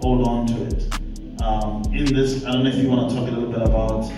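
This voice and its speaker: Man speaking haltingly in echoey space. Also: medium pitch, level tone. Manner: halting, pauses and repeats phrases. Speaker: director, panel speaker. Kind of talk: reflective panel talk. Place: echoey event space at a public panel. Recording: roomy, distant mic, loud music behind.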